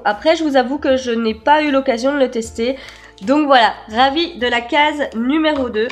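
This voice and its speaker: A woman chatting casually as she presents an unboxing, slightly hoarse and stuffed up a cold. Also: a little high. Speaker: beauty vlogger, unboxing host. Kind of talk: casual product unboxing chat.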